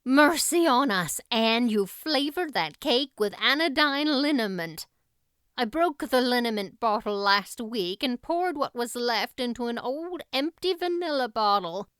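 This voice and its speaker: A woman voicing a stern, blunt, exasperated older guardian in a storybook, brisk, emphatic and scolding. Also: on the high side, lively intonation, a bit bright, clean tone, no room sound, studio quality. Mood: exasperated, rueful.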